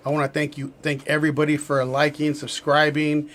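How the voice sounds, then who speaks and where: Higher-voiced man hosting a podcast. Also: completely flat delivery, brisk, fairly bright tone. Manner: loose, restarts and filler words. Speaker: podcast host. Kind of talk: casual live-stream chat.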